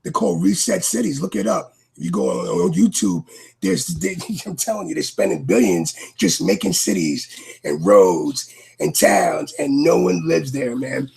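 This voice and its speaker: A man's voice: a little high, sing-song, normal speed, gravelly, darkish tone, breathy edge, low-quality recording. A man from New York with a New York accent, rambling animatedly in a casual chat as a podcast guest.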